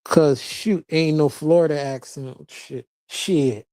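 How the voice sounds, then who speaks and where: An adult live-stream host reading viewer comments out loud and answering them in loose casual chat, laughing often and swearing freely. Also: medium pitch, slow pace. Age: adult with a child, past teens.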